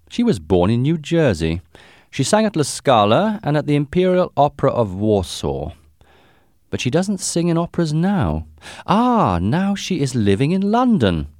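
A man voicing a brilliant, brisk English gentleman detective in an audiobook, commanding, precise and confident. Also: mid-range voice, no room sound, clean studio sound, voice pushed up. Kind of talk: audiobook character dialogue.

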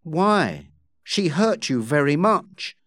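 A man playing a firm, protective gentleman in a storybook, with an admonishing manner. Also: a little high, very animated, slow, no echo, exaggerated voice. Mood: disapproving.

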